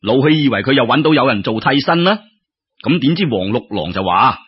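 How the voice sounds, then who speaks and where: A man's voice: mid-range voice, lively intonation, fairly quick, slightly rough. A man with a Cantonese accent narrating a folk tale in colloquial oral storytelling style. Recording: dull lo-fi radio-style recording.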